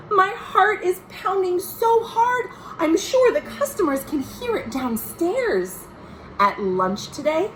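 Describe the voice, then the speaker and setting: A woman reads as an earnest young American girl writing home in a storybook. The voice is high and very animated, lingering over words with sing-song emphasis. It is slow and full of hope. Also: a little hiss or hum, full character voice, low-quality recording. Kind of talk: expressive storybook letter reading.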